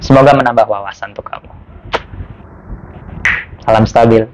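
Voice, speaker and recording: A man hosts an informal educational explainer. His voice sits at a medium pitch. He talks in a chatty way and often restates what he has just explained. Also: fairly even intonation, moderate pace, darkish tone, dull audio, slight background noise. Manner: repetitive, chatty, spells out and restates words. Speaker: host of a language lesson.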